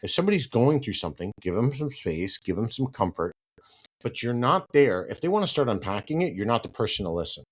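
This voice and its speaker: A man's voice: medium pitch, very animated, a little fast. A man hosting a solo podcast, sounding congested and a little nasal from hay fever, talking in a conversational way and tagging "right?" onto many points. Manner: conversational, repeats "right?" often, turns firm and emphatic when ranting. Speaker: podcast host.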